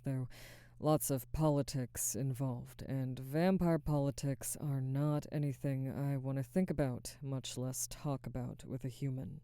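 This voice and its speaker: A young woman playing a guarded, burdened werewolf pack alpha in a soft, hesitant, intimate ASMR roleplay close to the microphone. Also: a bass voice, no room sound. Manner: hesitant, soft-spoken, halting, breathy sighs. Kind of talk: ASMR audio roleplay, intimate scripted monologue. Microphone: close microphone, dry.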